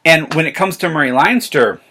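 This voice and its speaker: A man's voice: a little high, brisk, a little hiss or hum. An American man talking casually to camera as a vlog host, in a rambling, enthusiastic fan's manner. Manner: rambling, excited fan talk. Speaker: vlog host and science fiction reviewer.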